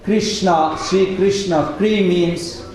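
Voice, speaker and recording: Slow, earnest man in an echoey hall. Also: high voice, steady tone. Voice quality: loud voice. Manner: earnest, unhurried, with pauses and broken sentences. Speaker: speaker at a devotional study group. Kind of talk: devotional lecture. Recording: very echoey room, voice far from mic, faint hiss.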